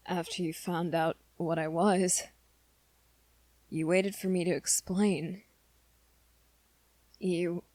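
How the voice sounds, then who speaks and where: A woman playing an earnest, lovesick young male werewolf in an intimate ASMR roleplay, confiding softly and haltingly in a friend. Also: lowish voice, no room sound. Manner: hesitant, halting, soft-spoken confession.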